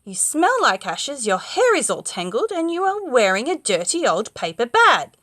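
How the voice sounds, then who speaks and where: A woman's voice: high voice, huge pitch swings, moderate pace, fairly bright tone, no room sound, studio quality, voice pushed up, full character voice. A woman voicing a snobbish, fussy young prince in a children's storybook, haughty and scolding. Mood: disgusted, haughty.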